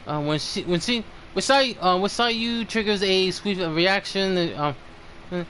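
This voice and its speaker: A man hosting a game livestream in casual chat, thinking aloud in a halting way. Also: high voice, bright, forceful tone, a little hiss or hum, low-quality recording.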